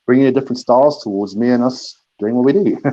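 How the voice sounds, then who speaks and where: A man's voice: mid-range voice, fast talker, dark tone, muffled recording. A middle-aged man from South Africa, a DJ and producer, answering interview questions in a rambling way, repeating words and laughing often.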